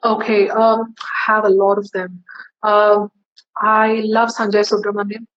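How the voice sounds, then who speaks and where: A woman's voice: level tone, measured pace, fairly bright tone, lo-fi sound. A woman singer giving casual tips in an interview, chatty and friendly, with many fillers. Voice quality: low, bass-leaning voice for a woman.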